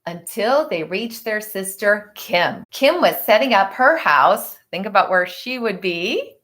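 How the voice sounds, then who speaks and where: A woman reading a picture book aloud to children in an expressive way, with chatty, teacherly asides to her listeners. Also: mid-range voice, measured pace. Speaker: storybook reader for children.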